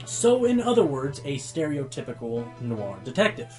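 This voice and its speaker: A man's voice: mid-range voice, very animated, moderate pace, over music, lightly acted. A man playing a wry, easily exasperated pony radio host in a radio play. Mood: wry, presenting. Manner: wry, dry teasing. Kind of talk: radio play acting as presenter.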